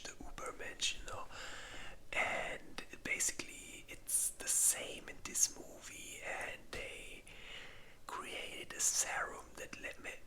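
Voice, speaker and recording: This is an ASMR creator speaking entirely in a breathy whisper with a very bright, airy edge. The delivery is informal and rambling, like a casual chat, with loose, unpolished phrasing. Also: very high voice.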